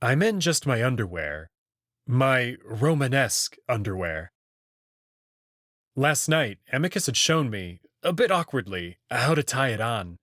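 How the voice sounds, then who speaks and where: A man's voice: medium pitch, big pitch swings, a little slow, a bit bright, no echo, clean studio sound. A man narrating a visual novel as the series host, reading scripted storytelling prose.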